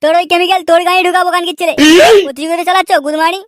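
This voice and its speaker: A man voicing a brash, foul-mouthed young male cartoon troublemaker, threatening and hot-headed, with a pitched-up voice. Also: little pitch movement, racing, fairly bright tone, no room sound, clean studio sound. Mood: furious. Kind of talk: comedy cartoon dubbing.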